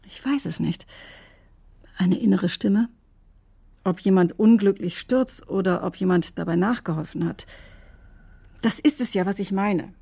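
A conscience-stricken woman doctor in a radio drama. Her voice is mellow and relaxed in tone, with a husky edge. She recounts events precisely and clinically, guarded and defensive. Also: medium pitch, unhurried, long pauses. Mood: troubled, defensive. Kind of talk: German radio drama acting, testimony-like narration.

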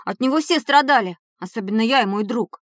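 A woman's voice: on the high side, brisk, no room sound, studio quality, lightly acted. A woman playing an eager, boastful schoolboy telling stories in a scripted educational audio drama. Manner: animated storytelling.

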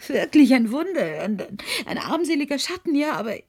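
A woman playing a secretive, grieving programmer in a radio play, halting and guarded, with a breathy voice. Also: high voice, very animated, a little mellow. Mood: fragile, desperate.

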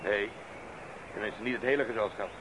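A man's voice: fairly low pitch, fairly quick, lightly acted. An actor playing a resolute, cautious young adventurer who leads his brothers, in a radio play. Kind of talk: Dutch radio play acting. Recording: noisy outdoor water soundscape.

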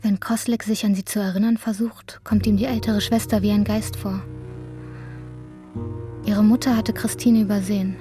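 Casting: female narrator, medium pitch, measured literary delivery, introspective, soft music underneath. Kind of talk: literary scripted narration in a radio drama.

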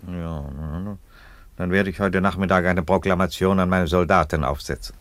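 Unflappable ironic emperor male actor, radio comedy-drama: very low voice, close dry sound, deadpan. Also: moderate pace, no room sound, close to an everyday voice. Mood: ironic, calm. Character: unhurried, ironic Roman emperor fond of his chickens. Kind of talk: German radio play acting.

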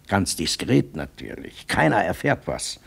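An actor playing an anxious, scheming politician in a radio play, husky, with an Austrian accent and a confiding, hedging manner. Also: male voice, low voice, very animated, measured pace, full character voice. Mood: confiding, uneasy. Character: anxious, scheming male politician fretting over public opinion. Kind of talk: German-language radio play dialogue.

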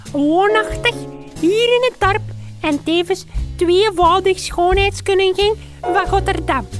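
A woman playing a chatty, simple village innkeeper's wife with a Flemish accent, rambling in folksy and mangled formal words for a comedy radio play. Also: very high voice. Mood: gossipy. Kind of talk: comedic radio drama acting.

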